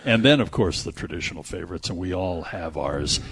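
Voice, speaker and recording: A man's voice: low voice, lively intonation, fairly quick, background noise. An American man hosting a radio movie show, chatting casually and enthusiastically, with some rambling and live ad reads.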